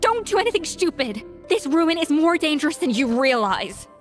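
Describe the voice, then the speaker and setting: High-pitched earnest game heroine. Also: female voice, big pitch swings, normal speed. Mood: alarmed, indignant. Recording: music behind.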